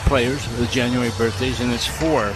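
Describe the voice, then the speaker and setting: A man's voice: medium pitch, fairly even intonation, moderate pace, music in the background, poor audio. A man giving colour commentary on a hockey radio broadcast. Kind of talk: sports commentary.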